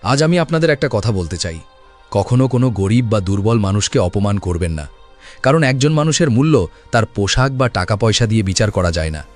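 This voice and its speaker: A man's voice: lowish voice, animated, fairly quick, soft background music. A man voicing a humble, honest self-made man in a cartoon, giving earnest moral advice. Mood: solemn, earnest. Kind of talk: cartoon voice acting, moral speech.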